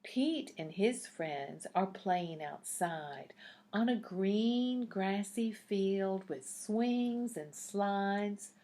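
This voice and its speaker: A woman's voice: mid-range voice, drawn-out words, mellow. A woman reading a picture book aloud to young children in a teacherly way, putting questions to the listeners.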